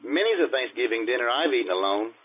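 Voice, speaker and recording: An actor playing a shy, earnest male teacher with a halting, polite manner in an old-time radio comedy. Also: mid-range voice, animated, close to an everyday voice. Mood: bashful, earnest. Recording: tinny, phone-like old radio-style sound.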